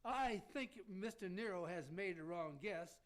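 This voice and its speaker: Guy doing folksy southern bad guy, really high voice, drawly, scheming, old-style radio theater rhymes. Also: expressive, a little slow. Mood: sly, folksy. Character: folksy scheming Southern villain Simon Legree in hell.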